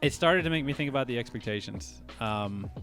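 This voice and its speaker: A man's voice: mid-range voice, expressive, a bit bright. Hesitant man chatting over music. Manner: hesitant and quick to laugh. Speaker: podcast co-host. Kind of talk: casual chat.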